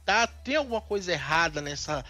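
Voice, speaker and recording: A man's voice: a little high, big pitch swings, fairly quick, bright, forceful tone, faint music behind. A man from Northeast Brazil, co-hosting a casual commentary chat and airing strong opinions with frequent restarts. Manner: talkative, many restarts, indignant opinions. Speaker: co-host.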